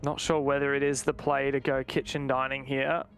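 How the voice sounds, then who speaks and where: A man's voice: mid-range voice, level tone, moderate pace, a bit bright, clear, resonant tone, faint music behind, rough recording. A man commentating live on an esports match, mixing play-by-play with analysis.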